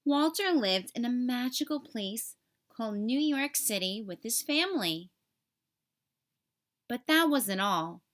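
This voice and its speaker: A woman reading a scripted story aloud for children, expressively and with clear phrasing. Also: a little high, animated, slow, fairly bright tone. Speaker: storybook reader.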